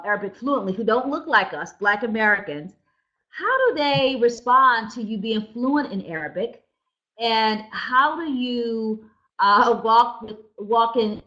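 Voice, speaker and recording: Middle-aged woman on a lo-fi call. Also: fairly high pitch. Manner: warm, searching questions with restarts. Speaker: interviewer and minister. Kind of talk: video call interview. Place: indoors, room with red wall. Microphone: video call webcam. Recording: slightly dull lo-fi webcam audio.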